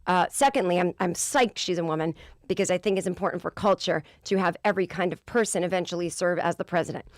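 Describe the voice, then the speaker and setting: A woman in her forties hosting a podcast, with a low voice, ranting in an exasperated, profane way in a casual comedic monologue. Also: quick pace, dry recording, studio quality. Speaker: podcast host.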